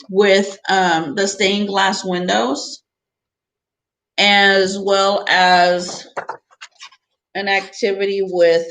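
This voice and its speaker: A woman's voice: medium pitch, fairly bright tone, slight background noise, rough recording. Woman teacher reading a storybook aloud. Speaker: teacher reading a picture book aloud to students.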